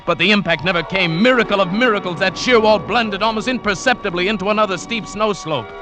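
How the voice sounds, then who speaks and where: An actor narrating as a climber who survived a great fall, telling it dramatically in first person in an old radio drama, with music behind him. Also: male voice, high-pitched, fast, close to an everyday voice. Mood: tense. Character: adult male climber recounting his fall. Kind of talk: 1940s radio acting.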